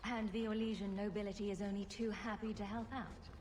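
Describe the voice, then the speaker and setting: A woman's voice: mid-range voice, level tone, brisk, darkish tone, a little hiss or hum, natural voice. A woman playing a sarcastic, joking adventurer in a video game, with a wry delivery. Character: sarcastic, humorous adventurer woman, quick with dry jokes.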